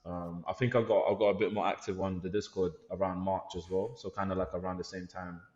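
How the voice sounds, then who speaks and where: A man's voice: deep voice, flat delivery, a little fast, darkish tone, echoey room, long reverb tail, lo-fi sound. A man from Lithuania, a guest on a podcast, talking in an easygoing, reflective way and repeating words as he searches for them. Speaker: podcast guest, life coach and youth worker. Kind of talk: casual podcast conversation.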